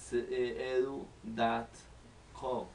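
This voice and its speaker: A young man from Colombia in his twenties, speaking English with a Colombian Spanish accent as a learner and reciting a self-introduction word by word with pauses. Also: medium pitch, little pitch movement, darkish tone, recorded from a distance, slight background noise. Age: 20s. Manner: halting, word-by-word delivery with pauses. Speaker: student. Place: small living room at home. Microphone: camera in front of the speaker.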